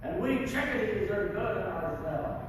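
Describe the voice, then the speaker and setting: A man's voice: high voice, expressive, moderate pace. A man with a Texan accent voicing a flock of tiny, proud chickadees that declare their worth. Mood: defiant. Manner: earnest, declaring. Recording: echoey hall, distant mic, noisy.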